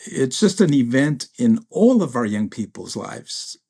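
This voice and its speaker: A man's voice: mid-range voice, sing-song, unhurried, creaky, dark tone, husky. A man hosting an interview as a pastor, speaking in a reflective way with frequent restarts. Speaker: pastor and host. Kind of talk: interview conversation.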